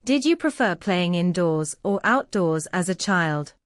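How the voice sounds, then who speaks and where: Brief: woman examiner, neutral questions, lowish voice, dry sound. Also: expressive, measured pace, no echo. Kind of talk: reading exam questions.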